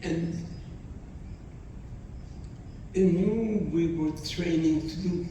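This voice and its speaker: A man in his nineties, not a native English speaker, gives a halting testimony to students and often repeats his phrases. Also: fairly high pitch, dark tone, slight background noise. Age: 90s. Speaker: Holocaust survivor speaker. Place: college hall. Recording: echoey hall from far off.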